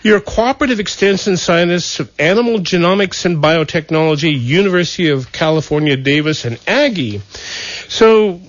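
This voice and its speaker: A man hosting a radio talk show, telling a wry, folksy story with halting restarts. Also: a little high, lively intonation, no echo. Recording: dull lo-fi radio broadcast.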